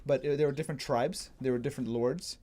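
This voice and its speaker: A man in a casual chat, explaining history as a guest in an informal, digressive way, as if thinking out loud. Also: medium pitch, steady tone, fast talker, a little hiss or hum. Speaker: guest martial arts enthusiast explaining history.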